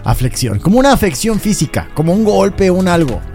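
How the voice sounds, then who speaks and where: A man's voice: high voice, big pitch swings, brisk, a little mellow. Man, hesitant chatty co-host, faint music behind. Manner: chatty, hesitant, laughs easily. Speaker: podcast co-host. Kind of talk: casual banter.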